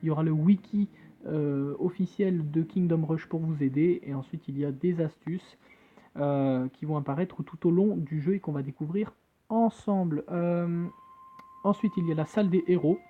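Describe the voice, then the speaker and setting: A man's voice: fairly high pitch, moderate pace. A man giving casual, hesitant commentary as he plays a phone game and reads its text aloud, with many fillers and jokey asides. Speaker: gamer playing on a phone. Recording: tinny phone-like screen recording with faint game music. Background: faint game music.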